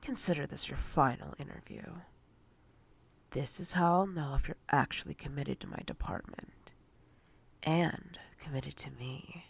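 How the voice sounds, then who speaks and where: A woman playing a domineering, menacing corporate boss in a breathy roleplay ASMR whisper, commanding and condescending in a deliberate way. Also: rumbling low, heavily acted. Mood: cold, commanding.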